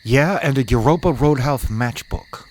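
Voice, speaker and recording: A man playing an eager junior high boy, a sidekick and accomplice in mischief. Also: normal speed, a little mellow, slight background noise, natural voice. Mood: eager, conspiratorial.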